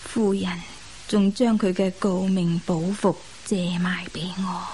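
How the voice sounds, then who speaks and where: Actress as a slow, reflective woman reminiscing. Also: on the low side, fairly even intonation, dark tone, slight background noise, natural voice. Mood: wistful, respectful. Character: reflective, respectful woman recalling her past. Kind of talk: radio drama narration in recollection. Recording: radio broadcast with music underneath.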